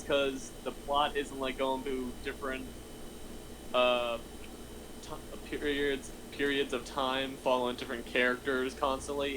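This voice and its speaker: Flat-toned man over clear background noise. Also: medium pitch. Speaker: panelist. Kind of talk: casual discussion. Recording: noisy room, air conditioning hum.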